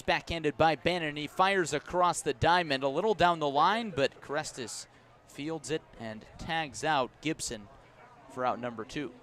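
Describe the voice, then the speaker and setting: An American man doing live play-by-play baseball commentary for radio, measured between pitches and lifting on plays. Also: fairly bright tone. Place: outdoor ballpark broadcast.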